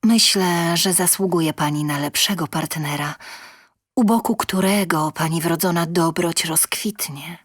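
A woman voicing a polite, calm, reserved gentleman in an audio short story, speaking formally and in a measured way. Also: dry recording, clean studio sound. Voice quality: low timbre.

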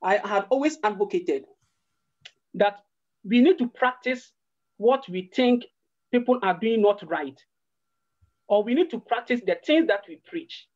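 A man's voice: high voice, lively intonation, a little slow, pauses often. Earnest Ghanaian man on a video call. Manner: earnest, passionate, repeats words while searching for them. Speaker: guest, organisation founder. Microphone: laptop webcam on video call. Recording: muffled video-call audio.

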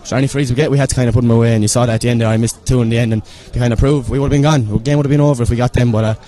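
A teenage man with an Irish accent, captain of a school team, talking excitedly and proudly into a handheld mic outdoors on a stadium pitch. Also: racing. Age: teens. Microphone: interviewer's handheld mic.

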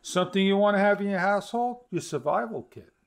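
A man in his fifties giving an instructional product demonstration to camera, with halting phrasing. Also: high voice, animated. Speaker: product reviewer. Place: small home studio room, indoors.

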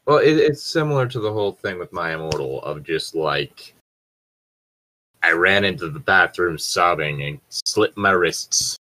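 Deep voiced guy doing a casual read-aloud, sarcastic side comments, swears a bit. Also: lively intonation, a little slow, bright, forceful tone, low-quality recording. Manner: joking, sardonic asides, swearing. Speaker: co-host reader. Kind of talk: casual group read-aloud of fan fiction with joking commentary. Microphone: own mic on an online voice chat.

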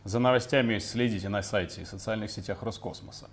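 A man's voice: fairly low pitch, fast. A man in his forties co-hosting a live broadcast in a scripted presenter's style, recorded in a studio on a lapel mic. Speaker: broadcast co-host.

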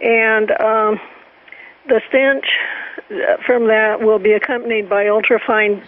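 A woman speaking as a panelist, explaining news reports in a halting way with repeated words. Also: a little high, level tone. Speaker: panelist on a talk show. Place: remote call into radio show. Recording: tinny phone-like line with static.

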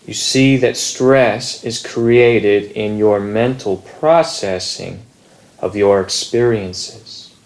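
A man reading a contemplative spiritual text in a measured, deliberate manner with long pauses. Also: on the low side. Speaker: reader at a Zen meditation group.

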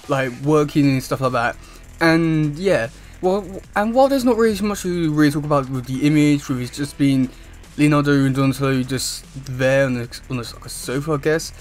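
A young man chatting casually to camera as a YouTube host, with a halting, wordy delivery. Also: on the high side, darkish tone. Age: young adult. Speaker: YouTube host reviewing comic news. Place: small room indoors at home.

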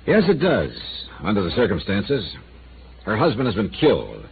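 A man's voice: fairly low pitch, wildly swooping pitch, normal speed, vocal fry, heavily acted. An actor playing a dry, skeptical male insurance investigator who also narrates, in a 1950s radio drama. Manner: dry, skeptical, probing questions. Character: wry insurance investigator, male, middle-aged. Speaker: narrator and lead. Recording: tinny lo-fi old radio broadcast with hiss.

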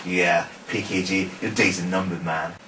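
A man's voice: low-pitched, steady tone, normal speed, pushed and bright. A man voicing a sly, mouthy male heel wrestler who sneers his trash talk. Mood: sneering. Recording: roomy, distant mic.

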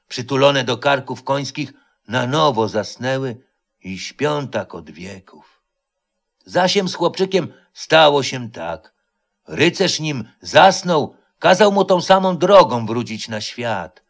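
A man reading a literary legend as a solemn, deliberate dramatic narration. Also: medium pitch, creaky. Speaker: storyteller, reader.

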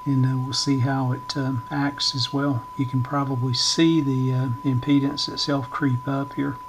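A man giving an informal hands-on demonstration in short, halting, hesitant phrases, talking at a workbench indoors. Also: mid-range voice, level tone, background noise. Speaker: electronics repair channel host, demonstrator.

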